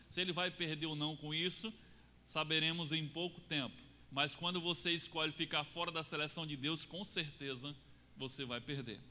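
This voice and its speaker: Bright Brazilian preacher in a reverberant hall. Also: male voice. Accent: Brazilian Portuguese. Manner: rises and falls, drops low to stress points. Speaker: pastor. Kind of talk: sermon. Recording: long reverb of a church hall.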